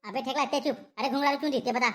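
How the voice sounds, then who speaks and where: A woman's voice: high voice, fast, a bit bright. A woman voicing a blustering, bullying village police inspector in a Chhattisgarhi comedy cartoon, with curt, scolding questions. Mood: scolding, interrogating.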